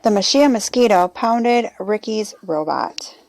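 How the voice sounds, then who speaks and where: A woman's voice: mid-range voice, expressive, measured pace, a little mellow. A woman reading a children's storybook aloud as the storyteller.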